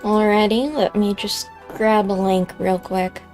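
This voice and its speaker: Unhurried woman streaming a video game. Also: mid-range voice, fairly even intonation, darkish tone, faint music behind. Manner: informal, playful reactions. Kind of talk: casual live gaming commentary.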